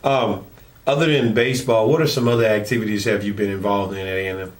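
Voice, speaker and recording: A man hosting an interview, asking questions in a halting way with many ums and uhs. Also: fairly low pitch, fairly even intonation. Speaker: interviewer, show host.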